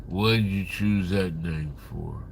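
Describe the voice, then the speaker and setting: A man's voice: sits low, takes it slowly, a little hiss or hum. A man in his fifties chatting casually on a livestream, reading viewer comments aloud in a rambling, profane way. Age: 50s. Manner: rambling, profane, talks to chat.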